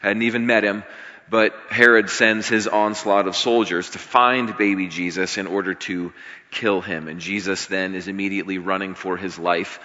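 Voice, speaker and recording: A middle-aged American man with a slightly scratchy voice, preaching to a congregation in an informal, conversational but emphatic way, with deliberate pauses. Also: deep voice, pushed and bright. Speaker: pastor. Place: large room, speaking to a congregation. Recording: reverberant hall, slightly dull sound.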